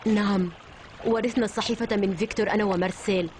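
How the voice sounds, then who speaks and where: A woman's voice: medium pitch, fairly even intonation, fast talker, darkish tone, a little hiss or hum, close to an everyday voice. A woman voicing an earnest, wary young man in a cartoon dub, speaking Modern Standard Arabic with urgent seriousness. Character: earnest, wary young male newspaper owner. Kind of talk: animated cartoon dub acting. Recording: background music under dialogue.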